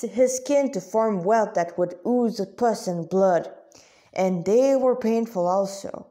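A woman's voice: medium pitch, dark tone. A woman reading a story aloud with asides, often stumbling over words and correcting herself.

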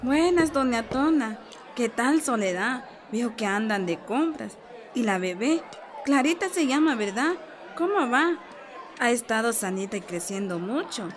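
A village health adviser in a radio soap. Her Guatemalan voice is slightly high, and she explains things in a patient, encouraging, assured way. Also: animated, measured pace, a faint crowd. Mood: friendly. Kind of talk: radio soap opera acting.

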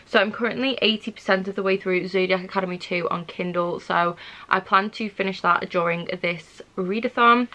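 A woman's voice: medium pitch, bright, forceful tone, poor audio. A woman, chatting casually for a book vlog and thinking aloud in an indecisive, rambling way. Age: 20s. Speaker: book vlogger. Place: small furnished room at home.

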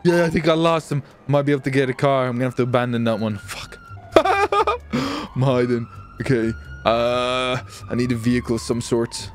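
A man's voice: mid-range voice, very animated, normal speed, slight background noise. A man chattering live through a roleplay game on voice chat, exclaiming and swearing as he reacts aloud to the action. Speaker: gamer, channel host.

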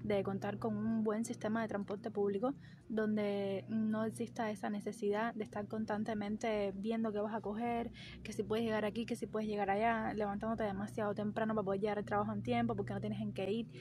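A woman's voice: mid-range voice, level tone, fairly quick. A young Cuban woman with a Cuban Spanish accent, chatting enthusiastically to her phone camera outdoors. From Cuba. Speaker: vlogger. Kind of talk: casual vlog talk to camera. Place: outdoors by trees on a street. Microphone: phone camera held at arm's length. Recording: outdoor street noise behind.

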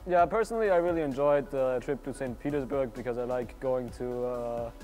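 A young man with an Austrian German accent, speaking in a measured, articulate way in a documentary interview indoors. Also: medium pitch, darkish tone, soft background music. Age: young adult. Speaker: exchange student. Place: indoors by a large window.

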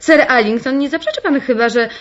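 An actress playing an excitable, credulous society hostess in a radio drama, with a gushing manner. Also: female voice, sits high, fairly quick, dull audio, natural voice. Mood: eager.

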